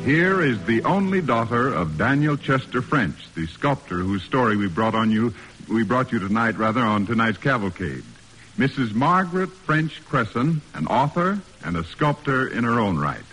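1940s radio announcer over music. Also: male voice, medium pitch, big pitch swings, normal speed, fairly bright tone, breathy edge, low-quality recording. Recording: old radio broadcast with music behind.

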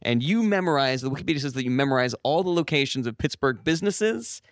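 A man's voice: medium pitch, animated, quick pace, no room sound. Dry, hesitant comedy interviewer man. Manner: mock-serious interviewer, hesitant with fillers. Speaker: podcast host.

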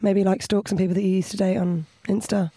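A woman with a slightly raspy voice co-hosts a podcast and chats in a playful, animated way. Her voice sits at an ordinary pitch, and the room is dry and close. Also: fast, no room sound. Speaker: podcast co-host. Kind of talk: casual comedic chat.

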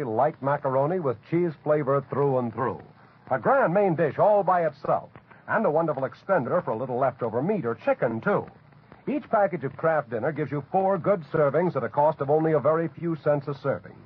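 An upbeat male radio pitchman from the 1940s, with a slightly husky, animated voice. The sound is thin and phone-like. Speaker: commercial announcer. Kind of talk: 1940s radio advertising read. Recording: tinny, narrow old radio recording.

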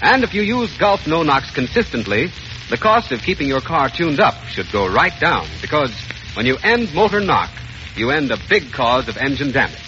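A man's voice: mid-range voice, sing-song, moderate pace, pushed and bright, soft background music. A man reading a scripted commercial as an old-time radio announcer, with polished salesmanship. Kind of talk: 1930s-40s radio ad read. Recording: muffled old radio recording, faint noise.

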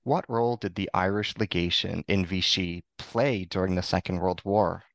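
Male host, formal, scripted questions, lowish voice, dry sound. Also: moderate pace, creaky, no room sound. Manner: formal, reads prepared questions. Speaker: podcast host. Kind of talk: interview questions.

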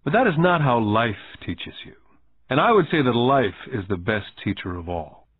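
A man voicing a calm, shrewd older businessman who lectures a boy in a measured, quietly knowing way. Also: mid-range voice, slightly rough, no echo. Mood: teaching. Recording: tinny phone-like band.

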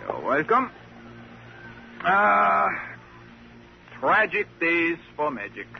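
An actor playing a vain, affected, fussy stage magician in an old-time radio drama. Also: male voice, fairly high pitch, very animated, very slow, gravelly, full character voice. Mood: affected, self-absorbed. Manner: affected, formal, peevish. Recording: muffled, hissy old radio recording.

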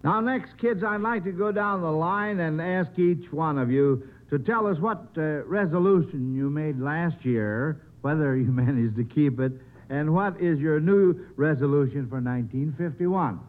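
A man with a slightly high voice hosts a 1950s radio panel show. He talks to children in a folksy, chatty way. The old recording is narrow and muffled. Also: a little slow. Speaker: quiz show host.

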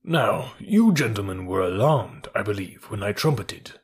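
Man playing fussy, grandiose old-world war elephant, husky. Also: lowish voice, expressive, a little slow. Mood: huffy, rueful. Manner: fussy, self-pitying, indignant. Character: nervous, grandiose male war elephant, easily offended.

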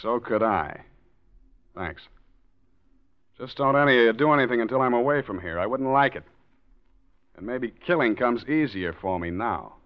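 An actor playing a scheming nephew in an old radio drama, smooth and falsely soothing, with menace underneath. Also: male voice, medium pitch, lively intonation, normal speed, long pauses, close to an everyday voice. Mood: menacing. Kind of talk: 1940s radio acting. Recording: dull old radio recording.

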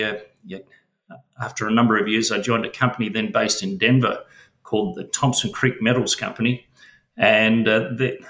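Australian man, bright voice, echoey room. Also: fairly low pitch. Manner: hesitant, many fillers and restarts. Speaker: mining company chief executive. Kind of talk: interview.

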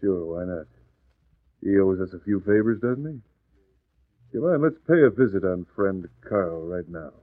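A man's voice: low voice, animated, moderate pace, pauses often. A man playing a crooked, scheming American businessman in a 1940s radio drama, brusque and slangy, blustering yet anxious. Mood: cocky, scheming. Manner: brusque, slangy, tough-talking. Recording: dry, tinny old radio recording.

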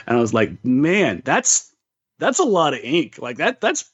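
A man's voice: on the high side, huge pitch swings, measured pace, fairly bright tone, slightly rough, lo-fi sound. A man co-hosting a sports podcast in casual chat, often repeating words as he thinks aloud.

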